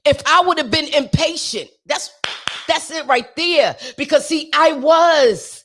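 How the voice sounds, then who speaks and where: A woman with a naturally raspy, high-pitched voice talks to her livestream viewers in a fervent, sermon-like way. She speaks straight to them, driving each point home by repeating it. Her rough tone stays in every line. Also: measured pace, fairly bright tone, a little hiss or hum. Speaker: singer sharing a testimony with viewers. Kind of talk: preachy motivational livestream talk.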